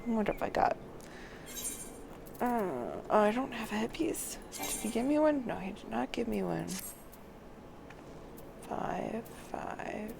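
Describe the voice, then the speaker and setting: A woman's voice: a little high, lively intonation, a little slow, gravelly, pauses often, noisy background. A woman game streamer talking through a walkthrough, reading on-screen text aloud and muttering to herself in between.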